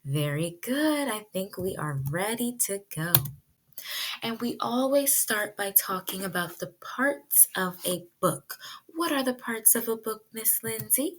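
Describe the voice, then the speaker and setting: A woman preschool teacher leading a virtual read-aloud lesson for young children, speaking in simple, deliberate phrases and putting questions to the children. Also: medium pitch, animated, slight background noise, poor audio.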